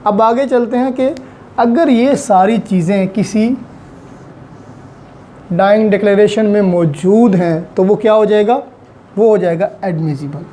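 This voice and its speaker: A man's voice: high-pitched, measured pace, long pauses, warm tone, a little hiss or hum. A man giving an online law lecture, patiently explaining step by step with examples and English legal terms. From Pakistan.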